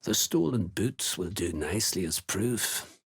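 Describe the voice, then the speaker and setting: Fantasy game villain: gruff cult slaver, husky, unhurried, scornful. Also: male voice, deep voice, animated, full character voice. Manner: curt, threatening, contemptuous. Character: gruff, menacing slaver serving a dark cult. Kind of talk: video game character dialogue.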